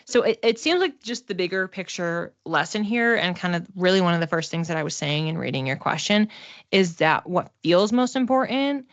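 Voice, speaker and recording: Low-voiced woman giving a psychic reading. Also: animated, dry recording, dull audio. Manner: conversational, halting, repeats phrases as she thinks aloud. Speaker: psychic reader. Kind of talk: casual one-to-one oracle card reading.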